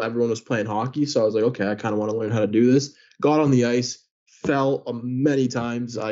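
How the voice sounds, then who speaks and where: A man's voice: mid-range voice, warm tone. Chatty Greek-born young man, muffled. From Greece. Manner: chatty, many filler words. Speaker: guest, hockey player and sports news account runner. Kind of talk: casual interview answers.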